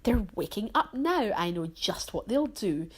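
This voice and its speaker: A woman's voice: medium pitch, sing-song, measured pace, slightly rough, pitched above the performer's own voice. A woman voicing a spiteful, scheming male storybook grouch who plots in a gloating, dramatic manner.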